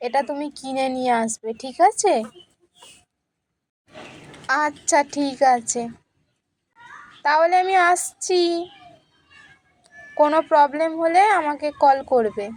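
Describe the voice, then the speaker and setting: A woman's voice: sits high, a little slow, long pauses, darkish tone. A woman playing a kindly doctor auntie in a puppet story, coaxing and reassuring. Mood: kindly, instructing. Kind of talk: puppet storybook play-acting.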